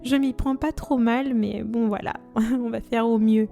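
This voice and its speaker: Young woman, twenties, from Congo, podcast host, earnest and devout, warm-toned, music faint behind. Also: fairly high pitch, fairly even intonation, a little slow, vocal fry. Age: 20s. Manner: earnest, devout, chatty with fillers. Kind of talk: faith podcast monologue.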